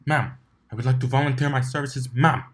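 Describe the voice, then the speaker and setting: A man's voice: mid-range voice, steady tone, brisk, a bit bright, close to an everyday voice. A man voicing a stern, stiff female soldier pony with a clipped, emotionless, formal delivery.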